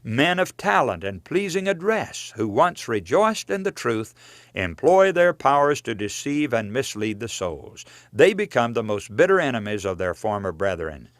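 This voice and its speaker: Male preacher reading a written sermon. Earnest and emphatic, widely varied pitch, dry close sound. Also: sing-song, no echo. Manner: earnest, emphatic, measured delivery. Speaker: preacher and author reading his own book. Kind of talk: scripted sermon-like book reading with quotations.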